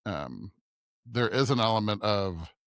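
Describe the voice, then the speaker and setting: An American man co-hosting a scripture podcast, talking thoughtfully and haltingly as he searches for his words. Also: lowish voice, expressive, fairly bright tone, no echo, clean studio sound. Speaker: podcast co-host. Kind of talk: conversational discussion of scripture.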